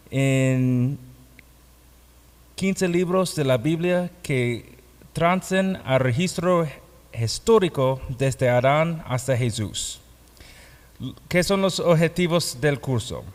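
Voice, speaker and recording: Male pastor-teacher, non-native speaker with foreign accent, unhurried and halting classroom delivery, reverberant hall recording. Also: on the high side. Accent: foreign, non-native Spanish. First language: not Spanish. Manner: halting, learner's grammar slips. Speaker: pastor and Bible course teacher. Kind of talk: classroom lecture, explaining a course. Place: classroom or hall with students present. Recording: echoey hall with long reverb.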